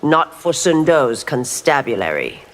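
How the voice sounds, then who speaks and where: A woman's voice: extremely low pitch, big pitch swings, a little slow, a little hiss or hum, heavily acted. A woman playing a proud, stern chief constable in a fighting game, authoritative and guarded. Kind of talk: fighting game character acting. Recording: long hall reverb, faint music.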